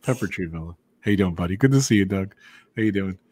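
A man with a low, husky voice hosts a live stream hangout. He swings his pitch up and down a lot as he greets guests and thanks viewers, upbeat and chatty. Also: animated, fast talker, a little mellow. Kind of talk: casual live stream chat.

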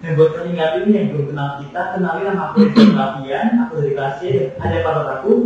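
A young man from Klaten hosting a student podcast, upbeat and quick. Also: a little high, a little mellow, slight background noise. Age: young adult. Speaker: podcast host. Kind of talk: casual chat. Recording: distant, dull, echoey mic.

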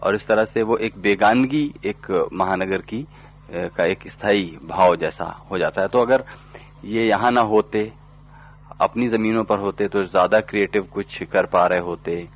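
Male interviewer, very low voice, slow, hesitant, old tape recording. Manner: halting, many hesitations. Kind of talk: interview questions.

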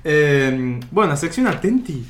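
A man's voice: a little high, sing-song, takes it slowly, slight background noise. A man from Salta with an Argentine Spanish accent, co-hosting a podcast in casual chat, hesitant and full of fillers. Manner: chatty, hesitant with many fillers and restarts. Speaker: podcast co-host.

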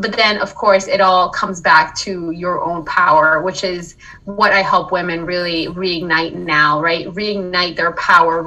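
A woman's voice: on the low side, bright, forceful tone, far from the mic, rough recording. A woman from Colombia, a wellness coach, telling her story earnestly in a casual interview, often checking in with "right?". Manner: earnest, reflective, frequent "right?" and laughs. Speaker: podcast guest, wellness coach.

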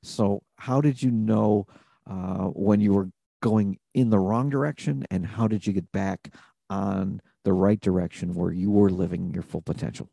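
A man's voice: deep voice, steady tone, normal speed, creaky, dark tone, dry recording. A man hosting an interview podcast, talking in an earnest, reflective way about purpose and connection. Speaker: podcast host. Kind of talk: conversational interview.

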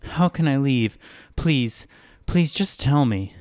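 A man's voice: medium pitch, lively intonation, unhurried, a little mellow, no echo, studio quality, close to an everyday voice. A man voicing a frightened young girl lost in a strange town, speaking urgently in a storybook reading. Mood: pleading. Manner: urgent, pleading.

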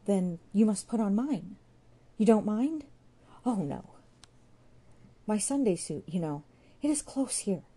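A woman's voice: medium pitch, lively intonation, a little slow, long pauses, warm tone, husky, pitched above the performer's own voice. A woman voicing a caring, earnest young man who speaks tenderly and urgently in a storybook reading.

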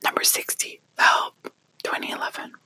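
A whispering ASMR creator softly reading a countdown list, hushed and unhurried, pausing to apologize for small slips. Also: no room sound. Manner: soft whispering, quietly acting out each quote before the title, apologizing for slips.

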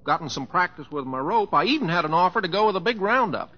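An actor playing an easygoing, restless young cowboy in an old radio drama. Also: male voice, sits high, animated, quick pace, slightly rough. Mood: sheepish, folksy. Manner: drawling, plain-spoken, folksy. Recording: dull old radio recording.

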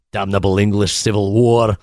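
Radio-play Scottish monk: gruff, boastful, crafty, highly animated delivery, dry studio sound. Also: male voice, no room sound, heavily acted. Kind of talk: 1940s-style radio play acting.

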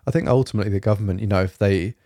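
A young man hosting his solo podcast in a rambling, fairly monotone way, with lots of fillers and a slightly rough throat. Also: lowish voice, rapid-fire, no echo, clean studio sound. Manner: rambling, many fillers and restarts. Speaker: podcast host. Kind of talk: casual solo podcast chat. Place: home, evening, quiet room.

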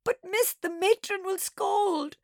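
A woman voicing a schoolgirl in a story who puts on frightened, sobbing, drawn-out pleas. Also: extremely high pitch, vocal fry, darkish tone, dry recording, clean studio sound, voice pushed up, exaggerated voice. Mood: sobbing, pleading. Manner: howling, sobbing, drawn-out pleading. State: mock crying. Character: wailing, pleading schoolgirl faking a frightened sleepwalking fit.

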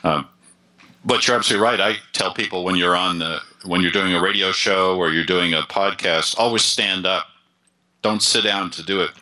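A man's voice: deep voice, normal speed, pushed and bright, rough recording. A man being interviewed as a voice coach and author, explaining with confidence and often restarting his phrases.

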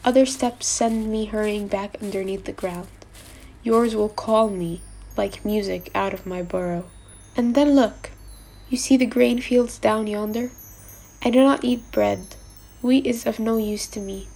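A woman voicing a gentle, wistful male fox from a storybook, speaking in an earnest, measured way. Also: mid-range voice, steady tone. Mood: wistful, longing. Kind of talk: storybook character voice.

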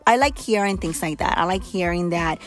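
A young woman hosting her own podcast in a blunt, candid, chatty way, hoarse as she loses her voice. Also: on the low side, fairly quick, faint music behind. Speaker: podcast host. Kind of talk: casual solo podcast talk.

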